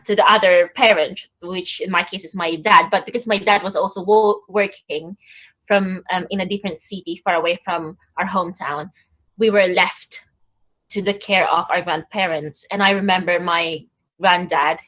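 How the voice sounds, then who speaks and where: Soft-spoken woman on a video call. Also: lowish voice, steady tone, normal speed, low-quality recording. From Philippines. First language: Tagalog. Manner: soft-spoken, searching, with frequent ums. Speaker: guest poet. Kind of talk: interview answers.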